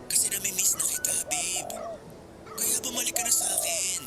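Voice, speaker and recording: A cocky young ex-boyfriend in a radio drama, smug and wheedling with menace underneath. His pitch swings widely, and music plays behind him. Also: huge pitch swings, normal speed, gravelly, exaggerated voice. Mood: taunting, wheedling. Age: young adult. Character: menacing, cocky young male ex-boyfriend, sweet-talking and taunting.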